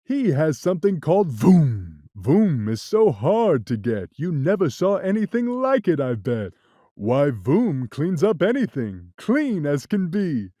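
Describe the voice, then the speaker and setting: A woman voicing a sly, boastful cat magician in a read-aloud storybook, deep and showy. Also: sing-song, a little slow, dry recording, clean studio sound, heavily acted. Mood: commanding. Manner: deep, loud, showy and sure of himself. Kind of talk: storybook reading.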